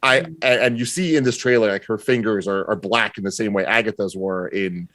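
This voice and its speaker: A man talking casually as a podcast guest, explaining his opinions in a measured, reasoning way. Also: on the low side, fairly bright tone, dry recording. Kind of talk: casual chat, opinion and analysis.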